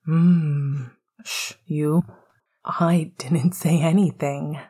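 A woman voicing a tender, teasing young man in love in a breathy, hushed near whisper, for a story reading. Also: deep voice, steady tone, extremely slow, voice pushed down, full character voice. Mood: soft teasing.

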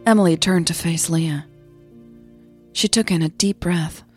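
A woman's voice: lowish voice, a little slow, darkish tone, faint music behind. A woman narrating a scripted audio drama as its storyteller.

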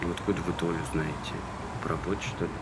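A man's voice: low voice, steady tone, quick pace, lightly acted. An actor playing a talkative, good-natured young man who muses in a casual conversational way in a stage drama. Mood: politely cheeky. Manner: musing, rambling, conversational. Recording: noisy ambient background with vehicle sounds.

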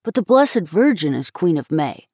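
A woman's voice: sits low, big pitch swings, moderate pace, darkish tone, slightly rough, no room sound, studio quality, exaggerated voice. A woman voicing a disdainful, stubborn little boy in a storybook reading, blunt and insistent.

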